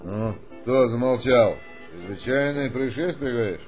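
An actor playing a weary old army colonel in a radio drama, grumbling, sighing and brusque. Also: male voice, mid-range voice, lively intonation, moderate pace, darkish tone, soft background music, natural voice. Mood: gruff, commanding. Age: older.

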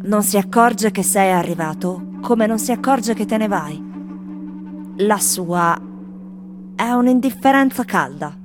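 Attrice che fa una turista ironica, musica forte sotto. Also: female voice, medium pitch, animated, measured pace, natural voice. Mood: dry, wry. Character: foreign tourists in Rome, wry, world-weary woman. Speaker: actress performing a radio theatre piece. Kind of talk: theatrical scripted monologue over music. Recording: music playing behind the voice.

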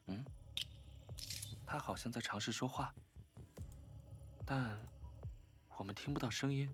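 An actor playing a blunt young game player in an audio drama, measured and matter-of-fact. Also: male voice, medium pitch, a little hiss or hum, lightly acted.

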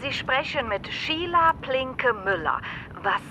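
An actress playing a chatty, colloquial agency phone clerk with a Rhineland accent in a radio drama. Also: female voice, sits high, very animated, slow, noisy background, heavily acted. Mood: obliging. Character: chummy, casual female agency phone clerk. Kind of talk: radio crime drama dialogue.

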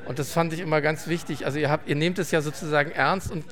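A man's voice: fairly high pitch, fairly even intonation, fast, a bit bright. A man hosting a radio show, talking casually and hesitantly with guests, often restarting sentences. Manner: hesitant, searching for words, many restarts. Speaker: radio host.

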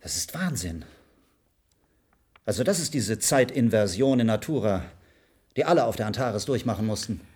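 A man playing a formal, guarded professor in charge of a space project, courteous and measured, in a radio drama. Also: fairly low pitch, lively intonation, a little mellow, slightly rough. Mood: urgent, troubled.

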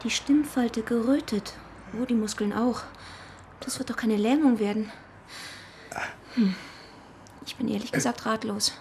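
A caring, proper deaconess in a radio play. Her voice is fairly high, matter-of-fact yet intimate, with clear ambient noise behind it. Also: steady tone, measured pace, pauses often, a little mellow. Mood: examining, concerned. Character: caring, practical deaconess, affectionate but proper. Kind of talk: German radio crime drama dialogue.

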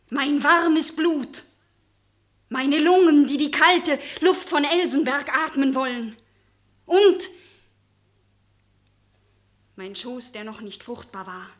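High-pitched, fiercely defiant young heroine. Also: female voice, sharp tone, exaggerated voice. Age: young adult. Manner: emphatic, declamatory, resolute. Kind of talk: theatrical German radio drama acting.

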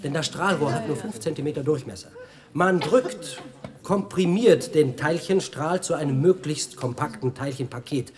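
An actor playing a showy physics guide, lecturing a tour group in a declamatory, explanatory way in a radio play. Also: male voice, a little high, normal speed, darkish tone, natural voice.

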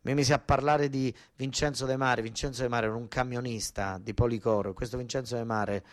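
An actor playing a desperate working-class southern Italian son and ex-soldier, in a colloquial, emphatic theatrical monologue with a southern Italian accent. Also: male voice, medium pitch, fairly even intonation, a little fast, lightly acted. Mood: grave, indignant.

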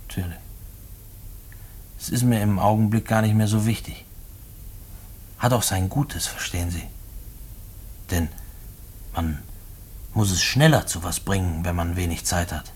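Low-voiced, husky, brooding condemned prisoner. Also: male voice, darkish tone. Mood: pensive, resigned. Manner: halting, repeating himself. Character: brooding condemned prisoner, a working-class husband and father. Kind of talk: German radio play acting.

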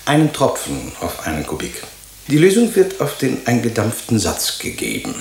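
An actor playing a courteous, pedantic laboratory chemist in a radio drama, explaining things precisely and deferentially. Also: male voice, mid-range voice, wildly swooping pitch, moderate pace, gravelly. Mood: precise, polite. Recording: roomy echo, faint hiss.

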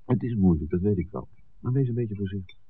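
A man's voice: lowish voice, animated, fast talker, lightly acted. An actor playing a wry, cautious male filmmaker in a 1960s Dutch radio drama. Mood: cautioning. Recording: dull, noisy vintage 1969 radio recording.

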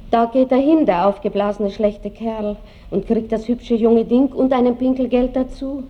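A woman's voice: a little high, steady tone, moderate pace, natural voice. An actress playing a grand, worldly Viennese noblewoman with an Austrian accent in a theatrical radio drama. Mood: bitter musing. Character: aristocratic Viennese lady, imperious, worldly, wistfully bitter. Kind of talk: 1960s German radio drama acting. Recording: dull 1960s radio recording, faint noise.

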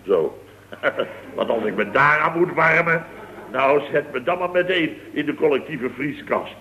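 Blustering boss, swooping and sarcastic. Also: male voice, on the high side, lively intonation, a little slow, pushed and bright, exaggerated voice. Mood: sarcastic indignation. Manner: grumbling, sarcastic, emphatic. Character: blustering, exasperated, sarcastic male boss of a building firm. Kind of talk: 1970s Dutch radio comedy acting. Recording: dull old radio recording.

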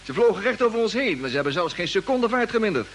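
A male actor playing a chatty, excitable radio operator with folksy, hesitant phrasing in a radio play. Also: sits high, quick pace. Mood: joking, nervous. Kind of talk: mid-century radio play acting. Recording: old radio recording with faint hiss.

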